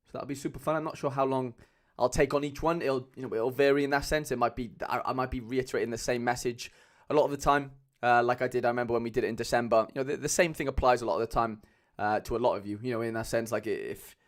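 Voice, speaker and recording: A young man with a Cardiff accent chatting casually as a livestream host, loose and rambling with lots of fillers, speaking into a mic on a boom arm at home. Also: steady tone, fast, hardly any pauses. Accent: Cardiff Welsh. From Wales. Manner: chatty, loose, many fillers, calls viewers lads.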